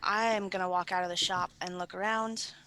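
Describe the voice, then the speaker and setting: A woman playing a tabletop game over an online voice call, talking in a relaxed, laid-back way. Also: fairly low pitch, brisk, slight background noise. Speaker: player. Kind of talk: casual chat while playing a tabletop game. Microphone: computer mic on an online voice call.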